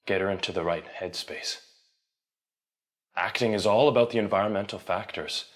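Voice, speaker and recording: An actor in an audio drama playing an intense, solemn method actor, speaking quietly and deliberately. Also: male voice, fairly low pitch, lightly acted. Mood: brooding, ominous. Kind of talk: audio drama acting, monologue.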